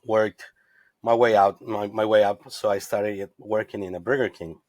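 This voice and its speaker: A man from Ecuador telling his career story as a podcast guest, earnest and warm, with many "you know"s. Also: on the low side, fairly even intonation, moderate pace, dry recording. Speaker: guest, tequila brand representative. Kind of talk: casual podcast interview.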